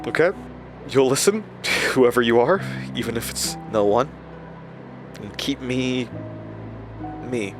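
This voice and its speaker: An actor playing a haunted, weary man confiding his supernatural ordeal in a halting horror audio drama monologue. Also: mid-range voice, animated. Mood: anxious, halting. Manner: halting, confessional, dramatic pauses. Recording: music behind the voice.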